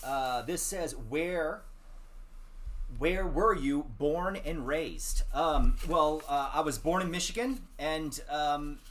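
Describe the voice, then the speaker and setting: A middle-aged man from the DC area, talking casually as a guest in a measured, hesitant way. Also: on the high side, a bit bright. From Washington DC area. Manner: measured, hesitant, with many ums. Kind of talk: casual chat.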